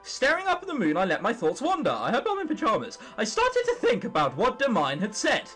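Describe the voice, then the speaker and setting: A young troll girl voiced by a man tells her storybook tale. She is fretful and dramatic, with a high, very animated voice. Music sits faintly behind her. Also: fairly quick, exaggerated voice. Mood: weary, wistful. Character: young female troll narrator, flustered, embarrassed, defensive storybook heroine. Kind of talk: visual novel narration read aloud.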